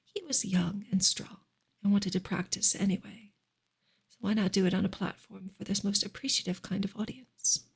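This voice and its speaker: A woman reading a book aloud as soft ASMR, breathy and often close to a whisper, with gentle, careful narration. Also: medium pitch, moderate pace. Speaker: reader, ASMR creator.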